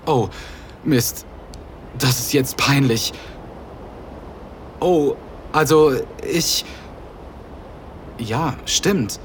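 A man's voice: medium pitch, drawn-out words, long pauses. Brief: a reserved businessman, hesitant and a bit awkward, ordinary mid voice, faint noise under the audio drama. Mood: flustered.

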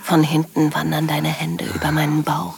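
A woman playing a low-voiced, tenderly intimate wife. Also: monotone, moderate pace, a little hiss or hum. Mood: sensual. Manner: soft, breathy delivery, often dropping to a whisper. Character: loving wife, happily moved, narrating her anniversary trip tenderly. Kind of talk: intimate first-person erotic audio drama narration.

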